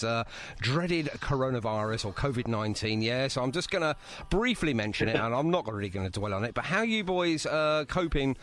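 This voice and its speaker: A man's voice: medium pitch, a bit bright. A British man hosting a casual, chatty band interview with a jokey, bantering manner. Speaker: radio podcast host.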